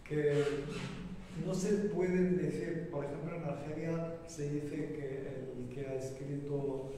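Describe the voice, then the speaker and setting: A man speaking informally on a discussion panel, in halting phrases. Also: fairly high pitch, level tone, mellow. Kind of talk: informal round-table discussion. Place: museum lecture room, table microphones. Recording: very echoey hall, distant, faint noise.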